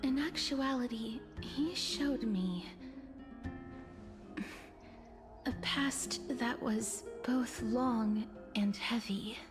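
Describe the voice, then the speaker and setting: A solemn, gentle young woman from a video game. Her voice is fairly high, soft and a little hesitant. Music plays clearly behind her. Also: takes it slowly.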